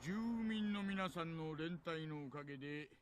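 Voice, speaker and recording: An actor playing a jovial, portly middle-aged police detective in a visual novel, with a polite, unctuous manner. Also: male voice, fairly high pitch, brisk, lightly acted. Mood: jovial, formal. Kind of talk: Japanese visual novel voice acting. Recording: clear street noise behind the voice.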